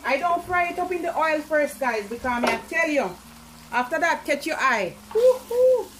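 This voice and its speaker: A woman's voice: high voice, big pitch swings, moderate pace, a bit bright, poor audio. A woman with a Jamaican accent, casually talking viewers through cooking in her home kitchen, calling out to them often. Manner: chatty, keeps calling to viewers. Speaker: cooking vlogger. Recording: sizzling pan and kitchen noise behind.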